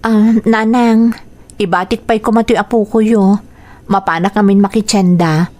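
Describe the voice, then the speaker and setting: A woman's voice: mid-range voice, steady tone, normal speed, a little mellow, natural voice. A woman acting as a dutiful young married daughter in a radio drama. Her Ilocano-accented delivery is polite and conversational. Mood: polite, considerate.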